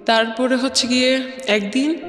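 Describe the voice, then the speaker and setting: A woman with a Bangladeshi accent and a high voice recounts personal experiences as a guest. She speaks haltingly and circles back over phrases, dropping in English words. The sound is echoey and reverberant, with faint music underneath. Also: steady tone, fairly bright tone. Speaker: guest telling own life story. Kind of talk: personal storytelling in interview.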